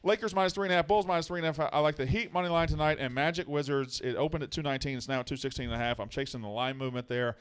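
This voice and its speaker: A man's voice: on the high side, fairly even intonation, very fast, hardly any pauses, clear, resonant tone. A man in his forties hosting casual sports betting banter in a blunt, argumentative manner. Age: 40s. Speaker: host of a sports betting show.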